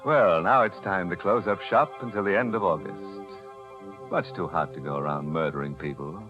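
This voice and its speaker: Man playing a deep-voiced sardonic horror host. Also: normal speed, natural voice. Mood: sly, macabre. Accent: American. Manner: sardonic, deadpan ghoulish humor. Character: sardonic, macabre, chuckling mystery-show host. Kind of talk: 1940s radio acting. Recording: muffled 1940s radio, music behind.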